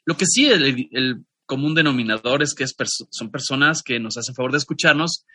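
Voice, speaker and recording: Mexican man, podcast co-host, bright tone, dry booth sound, loose chatty delivery. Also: mid-range voice, animated, fairly quick, dry recording. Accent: Mexican Spanish. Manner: discursive, with frequent restarts and asides. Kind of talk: casual chat introducing a guest.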